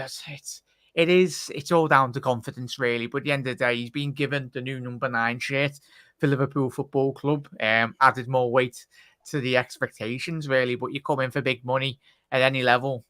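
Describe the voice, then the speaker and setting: A man's voice: mid-range voice, fairly even intonation, fairly quick, bright, forceful tone, dry recording. A man hosting a casual live football reaction stream on a video call, chatty and calling everyone mate. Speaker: host.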